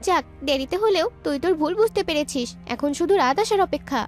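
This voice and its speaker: A very high, girlish voice put on by a woman plays an earnest village girl in a cartoon folk tale. She sounds a little whiny, with music playing behind her. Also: lively intonation, fast. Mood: weary, complaining.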